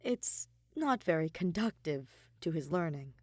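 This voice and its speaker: A woman reading a piece of fiction aloud as an expressive, emphatic narrator. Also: low-pitched, a little slow, dry recording, studio quality. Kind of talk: expressive scripted story narration.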